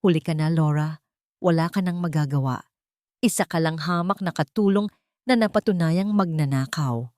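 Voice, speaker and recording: A woman's voice: deep voice, normal speed, a little mellow, no room sound, clean studio sound, lightly acted. A woman doing a sneering scheming stepmother. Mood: contemptuous. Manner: sneering, contemptuous.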